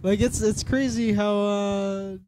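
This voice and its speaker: A man's voice: high-pitched, fairly even intonation, slow pace, a little mellow. A man co-hosting a podcast, chatting casually about a TV show, laughing often and repeating words as he restarts. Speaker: podcast co-host. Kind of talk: casual review chat.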